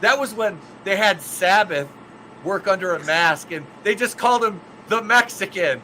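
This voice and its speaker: A man's voice: high voice, moderate pace, pushed and bright, slight background noise. A man, co-hosting a wrestling podcast, telling rambling, profane stories about ring announcing. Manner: swears freely, rambling storyteller. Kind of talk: casual profane chat.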